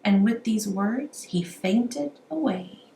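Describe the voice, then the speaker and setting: In a roomy, echoing space with faint noise, a woman reads a children's rhyme aloud. She is an amateur storyteller with an animated delivery and a middling pitch. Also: takes it slowly. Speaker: amateur children's book reader.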